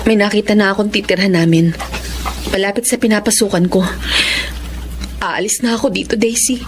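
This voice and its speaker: A woman's voice: medium pitch, fairly even intonation, a little fast, background noise, lightly acted. A young woman playing a resolute single mother who stands up to her mother in a radio drama. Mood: resolved. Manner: earnest, firm.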